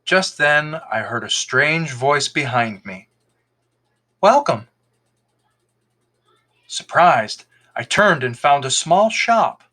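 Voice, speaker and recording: A man reading a folktale aloud as an expressive podcast storyteller, in scripted narration. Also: a little high, slow pace, few pauses.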